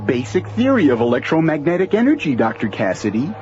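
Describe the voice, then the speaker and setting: Nerdy excitable lab assistant, radio drama, highish voice, dull recording. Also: male voice, fast, over music. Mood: earnest, awed. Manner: technical, wordy, eager. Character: excitable, wordy male lab assistant scientist.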